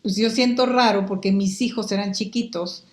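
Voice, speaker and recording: Older Guatemalan woman with a Mexican accent, animated guest, middle pitch, roomy sound. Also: normal speed. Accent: Mexican Spanish. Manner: animated storyteller, picks up accents. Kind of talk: casual interview chat.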